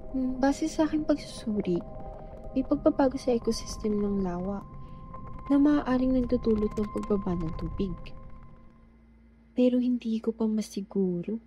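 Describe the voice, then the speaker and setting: Mellow, earnest woman scientist, played by an actress. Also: a little high, normal speed, long pauses, a little hiss or hum, close to an everyday voice. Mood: earnest, analytical. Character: earnest, careful female scientist helping a village. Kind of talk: amateur radio drama acting.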